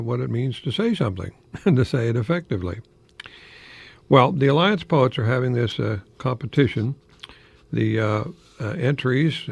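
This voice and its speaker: A man's voice: fairly low pitch, vocal fry, husky, no room sound. An older American man giving an informal radio monologue in a rambling, hesitant manner with chuckling asides. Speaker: radio host, college professor.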